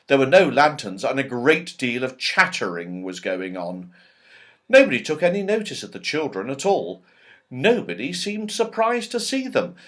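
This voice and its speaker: Very animated man reading a children's story. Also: mid-range voice. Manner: animated, dramatic pauses and emphasis. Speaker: audiobook reader. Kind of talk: expressive children's storybook narration.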